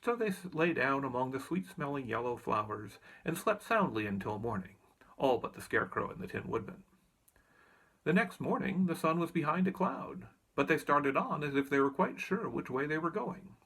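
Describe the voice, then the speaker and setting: A man's voice: a little high, very animated, normal speed, creaky, fairly bright tone, slightly rough, poor audio. A man reading a children's story aloud as narrator. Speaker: audiobook reader.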